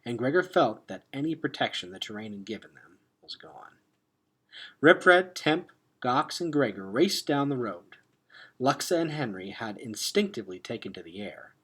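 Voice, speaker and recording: A man reading a children's adventure novel aloud like a teacher, expressive and dropping into hushed tones for suspense. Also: mid-range voice, breathy edge. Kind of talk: dramatic read-aloud narration of a children's novel.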